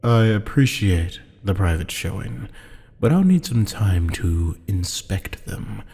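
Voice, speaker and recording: A man playing a haughty, teasing demon buyer in an ASMR roleplay drama, with a low, gravelly, husky voice and a taunting, mock-polite manner. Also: lively intonation, slow pace, dark tone, full character voice. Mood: cool, businesslike. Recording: long hall-like reverb.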